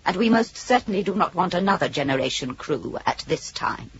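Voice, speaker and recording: An actress playing a calm, calculating female ship computer in a radio drama, speaking in a formal, coldly logical way. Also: lowish voice, a little fast, creaky. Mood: cool, calculating. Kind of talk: 1980s radio drama acting. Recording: dull old radio recording, light noise.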